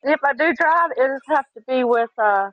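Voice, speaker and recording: Flirty teasing woman, 30s, kinda high voice, dry close sound. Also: animated, normal speed, no room sound, dull audio. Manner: flirty, teasing, uses pet names. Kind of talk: casual flirty chat.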